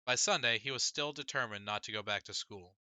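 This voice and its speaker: Male audiobook reader, mid pitch, very bright forceful tone, close dry sound, first-person narration. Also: fairly quick, smooth, dry recording.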